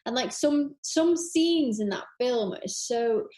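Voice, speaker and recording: A woman's voice: on the high side, animated, slow, dark tone. A woman co-hosting a podcast in casual chat, enthusiastic and repeating words for emphasis. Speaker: podcast co-host.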